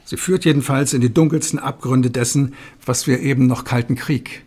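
A husky, medium-pitched man , an actor, plays a television presenter in a radio play. His delivery is formal, measured and grave, with weighty rhetorical pauses. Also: steady tone, a little mellow, close to an everyday voice. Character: solemn male television talk show host. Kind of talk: radio drama, staged studio presentation.

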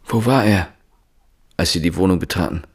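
An actor playing an insistent, probing police inspector, questioning deliberately in a naturalistic radio drama. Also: male voice, deep voice, slightly rough. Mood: stern, probing.

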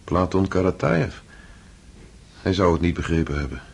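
A reflective, loving husband in a radio play. His voice is lowish and very husky, with hesitant phrasing that moves around a lot in pitch. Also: sing-song, normal speed, exaggerated voice. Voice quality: breathy, husky. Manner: hesitant, thoughtful. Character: earnest, reflective, affectionate idealist husband. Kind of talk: intimate radio drama acting.

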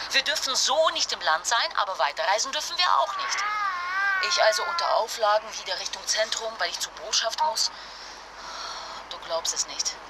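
An actress playing an impulsive, fragile young mother stranded abroad, speaking haltingly and colloquially in a naturalistic radio drama phone call. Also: mid-range voice, natural voice. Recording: noisy ambience with vehicle sounds.

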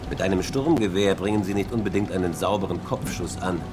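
A man's voice: sits low, fairly quick, close to an everyday voice. Actor as a grim, blunt military man. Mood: grim, weary. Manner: matter-of-fact, bitter. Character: grim, blunt male military officer surviving the apocalypse. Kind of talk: horror radio drama dialogue. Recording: music bed under the voice.

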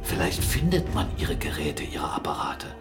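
An actor playing a forceful, excitable captain and mill owner in a radio play, mocking and animated, swinging between murmurs and exclamations. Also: male voice, extremely low pitch, moderate pace, whispered, music in the background. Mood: covetous, eager. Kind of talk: German radio play dialogue.

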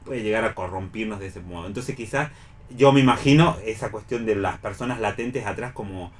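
A man with an Argentine accent in a reflective radio discussion about the arts, thinking aloud in an animated way. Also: fairly low pitch, fairly quick. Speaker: radio panelist discussing theatre.

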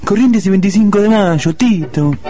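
An actor playing an exasperated older friend in a comic radio play, scolding in slang with a Buenos Aires accent. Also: male voice, high-pitched, expressive, normal speed, mellow. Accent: Buenos Aires Argentine.

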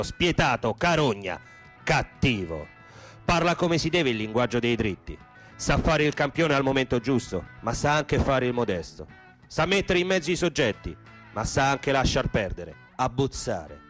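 A man's voice: medium pitch, fairly bright tone. A man delivering theatrical, poetic scripted narration for a radio play, with music in the background.

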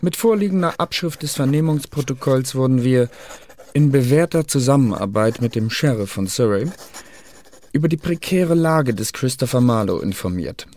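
An actor playing a dry, pedantic secret service clerk who narrates dossier notes in stiff officialese in a radio drama. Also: male voice, medium pitch, darkish tone, natural voice.

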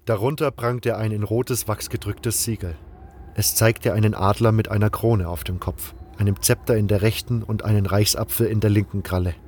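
A man's voice: on the low side, steady tone, normal speed, a little mellow, slight background noise, lightly acted. An actor playing an adventurer who recounts his quest in the first person, as a storyteller in a scripted audio drama. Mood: narrating.

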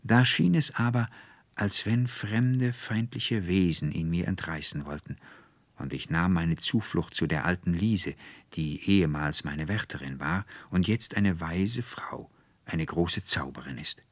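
Mann spielt ernste, entschlossene junge Frau, emphatisch. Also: male voice, deep voice, measured pace, dry recording. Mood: earnest confession. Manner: emphatic, formal old-fashioned declaiming. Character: earnest young woman confessing, resolute and effusive. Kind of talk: literary audiobook character dialogue.

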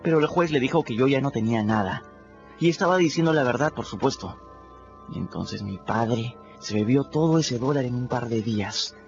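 An actor voicing a plucky, sly runaway boy in a radio drama, telling his story in the first person in a lively way. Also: mid-range voice, normal speed, natural voice. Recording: dull lo-fi radio recording. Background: clear music bed.

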